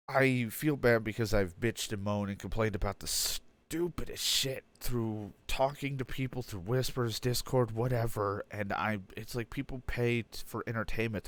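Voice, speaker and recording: A young man with a stuffed-up nose giving a candid personal update as a streamer, rambling and self-deprecating with many fillers and restarts. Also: on the low side.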